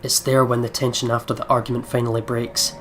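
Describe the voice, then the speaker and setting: A man's voice: medium pitch, little pitch movement, quick pace, noisy background. A man hosting a let's play, reading visual novel narration dramatically and chatting casually in between.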